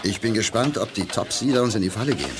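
A man's voice: lowish voice, fast talker, very noisy, close to an everyday voice. An actor playing a loyal male second-in-command spaceman in an audio drama, reporting in a matter-of-fact way. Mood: keen, businesslike. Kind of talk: 1980s German audio drama acting.

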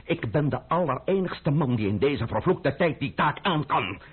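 A man's voice: medium pitch, animated, breathy edge, narrow, tinny audio. A male actor playing a cunning, menacing, mocking man of power in a theatrical radio play. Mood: proud, stern. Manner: sardonic, theatrical, deliberate.

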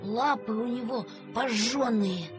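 A woman voicing an earnest, tearful little peasant boy in an audio play, halting and plaintive. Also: on the high side, over music. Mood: timid, pleading. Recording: cassette transfer.

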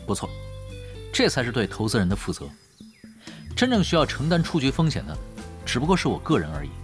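A man's voice: mid-range voice, huge pitch swings, a little fast. A man acting in a Mandarin audio drama as an easygoing, confident esports team leader, wry and plain-spoken. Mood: calm, assured. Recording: clear music under the voice.

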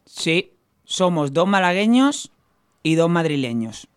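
A man's voice: fairly high pitch, sing-song, slow, smooth. A young man from Málaga with a faint Andalusian accent, chatting and laughing as a band member in a radio interview. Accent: Andalusian Spanish, faint. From Málaga, Spain. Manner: chatty, laughs often, warm banter. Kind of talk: casual radio interview chat.

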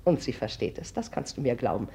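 A woman's voice: very deep voice, sing-song, a little fast, warm tone, breathy edge, exaggerated voice. An actress playing a domineering middle-aged mother who brusquely scolds and commands, in 1950s radio play style. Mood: scolding. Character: bossy domineering mother of grown daughters.

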